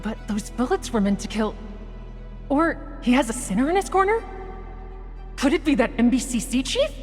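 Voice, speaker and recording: An actress playing a shrewd, cynical young woman in a dramatic, animated video game cutscene style. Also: sits high, moderate pace, long reverb tail, soft background music. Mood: scornful, intrigued.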